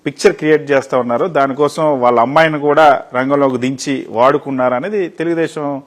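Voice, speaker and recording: A man's voice: medium pitch, fairly even intonation, fast. A man working as a TV interviewer, putting long, respectfully addressed questions in a news interview.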